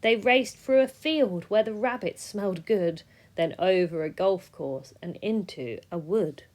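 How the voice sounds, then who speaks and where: Unhurried woman reading a children's story. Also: mid-range voice, a little mellow. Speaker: storybook reader. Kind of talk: expressive rhyming children's story narration.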